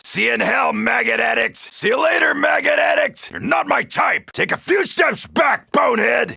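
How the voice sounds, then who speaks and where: Game casting: brash, wisecracking American macho grunt, forceful gravel voice, dry close sound. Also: male voice, very high voice, dry recording, full character voice. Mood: aggressive, taunting. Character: gruff, cocky macho soldier fighting zombies. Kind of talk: video game character voice lines.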